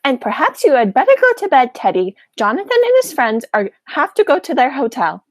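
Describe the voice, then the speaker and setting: A woman's voice: sits high, huge pitch swings, a little fast, no room sound, heavily acted. Young woman as a fluttery, genteel old aunt. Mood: gently firm. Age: young adult. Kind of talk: amateur radio play acting.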